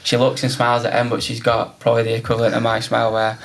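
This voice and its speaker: A man's voice: mid-range voice, monotone, fast talker, creaky. A man from North Wales, a podcast guest chatting casually and laughing often. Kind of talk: casual interview chat.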